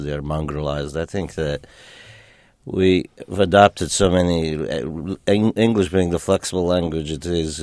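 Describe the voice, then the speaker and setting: A man from Romania whose first language was German, a radio guest talking in a reflective, halting way with many fillers. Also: rumbling low, darkish tone, no echo. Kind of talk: call-in radio interview.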